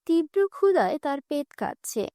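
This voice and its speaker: A woman's voice: sits high, very animated, fairly quick, mellow, no echo, clean studio sound. A woman narrating a cartoon fairy tale in a scripted storytelling manner.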